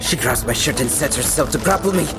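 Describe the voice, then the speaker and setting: A man's voice: mid-range voice, expressive, fast talker, lightly acted. A man acting in an audio drama as a young, casual and cocky fighter who narrates his own story in a wry way. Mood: focused. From Philippines. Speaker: voice actor narrating in character. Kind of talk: audio drama dialogue and first-person narration. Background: background music.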